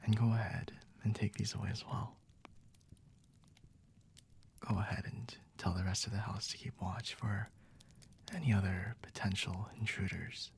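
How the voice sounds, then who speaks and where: Smug vampire lord, played by a man, low and husky, half-whispered, unhurried and teasing, for intimate ASMR roleplay. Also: steady tone. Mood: calm, commanding. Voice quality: breathy, husky, often whispering. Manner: unhurried, teasing, condescending, refined wording.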